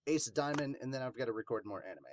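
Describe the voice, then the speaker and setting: A man's voice: medium pitch, racing, slight background noise. A man hosting a manga podcast in casual chat, with congested sinuses. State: congested sinuses, sniffing and throat clearing. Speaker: podcast host reviewing manga.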